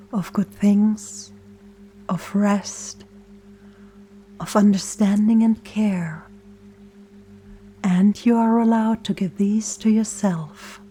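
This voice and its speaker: A woman leading a gentle scripted guided meditation in a soft, soothing, often breathy near-whisper, with deliberate pauses. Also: mid-range voice. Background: soft meditation music and singing bowl tones.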